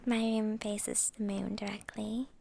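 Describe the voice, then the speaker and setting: A woman acting a slow, whispery young vampire girl. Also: mid-range voice, little pitch movement. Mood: inviting, reassuring. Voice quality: soft, breathy, often whispering. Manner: coy, hesitant, drawn-out phrasing with soft chuckles. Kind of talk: ASMR roleplay, intimate girlfriend-style monologue.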